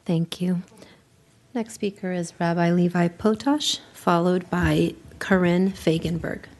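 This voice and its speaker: Woman, lowish voice, brisk, procedural, calling speakers. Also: darkish tone. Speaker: meeting clerk calling speakers.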